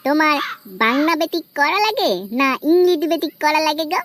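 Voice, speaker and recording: তোতলা-আধো বুলির চড়া কার্টুন বোকা বন্ধু. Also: male voice, very high-pitched, brisk. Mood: whiny. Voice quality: babyish lisp. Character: childlike, gullible, boozy young male friend in a cartoon. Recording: very loud music behind the voice.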